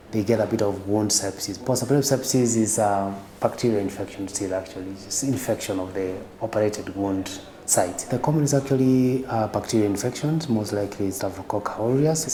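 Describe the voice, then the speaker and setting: Deep-voiced man, echoey, music underneath. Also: warm tone, a little hiss or hum. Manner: measured explanatory. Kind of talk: interview commentary. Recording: echoey room with music behind.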